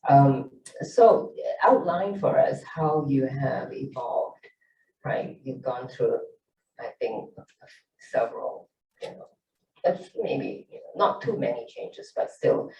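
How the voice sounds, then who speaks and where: A man's voice: mid-range voice, lively intonation, slow, creaky, warm tone. A man moderating a panel, asking a long, rambling question full of "you know". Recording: dull, echoey, distant mic.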